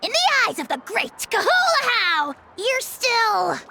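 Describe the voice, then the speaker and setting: Pompous, squeaky little dragon lord. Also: male voice, huge pitch swings, slow, creaky, bright, forceful tone, a little hiss or hum, exaggerated voice. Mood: scornful. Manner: pompous, blustering. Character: tiny pompous boastful dragon lord, hot-tempered. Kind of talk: cartoonish video game voice acting.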